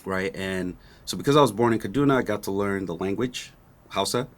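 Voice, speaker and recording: Nigerian-born guy, yoruba first language, really low voice, walks you through stuff patiently, keeps asking right?. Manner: measured, explaining with frequent "right?" checks. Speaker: guest, rapper. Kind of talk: relaxed explanatory interview talk.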